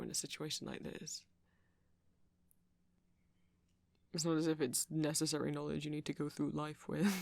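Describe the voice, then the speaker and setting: Nerdy, gentle vampire for ASMR roleplay, played by a man: creaky, halting, rambling, reassuring. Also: fairly high pitch, dry recording. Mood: earnest, reassuring. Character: nerdy, kindly, rambling male vampire music fan. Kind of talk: ASMR roleplay, soft conversational monologue.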